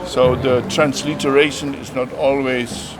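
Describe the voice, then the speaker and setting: A man being interviewed as a traveler, who answers in halting sentences and often restarts his words. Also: mid-range voice, flat delivery, a crowd in the background.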